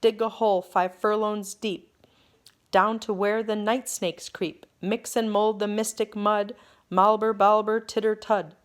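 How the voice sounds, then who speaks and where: A woman's voice: mid-range voice, little pitch movement, a little slow, smooth, pitched above the performer's own voice, natural voice. A woman voicing a group of mysterious magicians, chanting a rhyming spell in sing-song rhythm.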